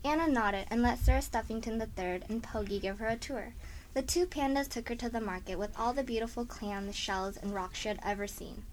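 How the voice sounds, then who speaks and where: A woman's voice: on the high side, a little fast, low-quality recording. A woman reading scripted narration for a children's story as the narrator. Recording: faint background noise.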